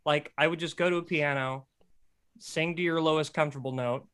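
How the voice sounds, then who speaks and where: A man with a high speaking voice, casually coaching others on singing in a group chat. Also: a little fast, clean tone. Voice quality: self-described high voice. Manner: animated, explains with sung examples. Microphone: close mic.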